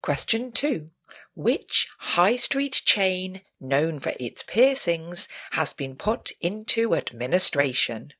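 A woman reading out a scripted pub quiz as host, in a measured, announcing manner. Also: fairly low pitch, very animated, fairly bright tone.

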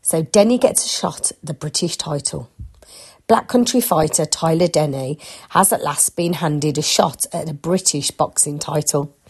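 A woman's voice: deep voice, moderate pace, breathy edge. A woman reading newspaper articles aloud, a little stuffed up and short of breath from a cold, with plain asides describing the photos. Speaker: newspaper reader for listeners.

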